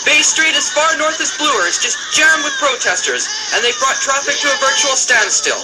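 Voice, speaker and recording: Very high-pitched, urgent male field reporter, acted. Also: animated, moderate pace, a loud crowd, very noisy. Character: excited male radio correspondent at protest scene. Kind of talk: live on-the-scene radio news report.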